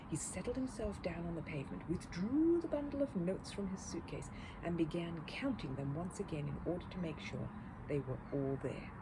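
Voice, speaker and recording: A woman reading a children's story aloud as an expressive narrator, with noise in the recording. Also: fairly low pitch. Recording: clear background noise.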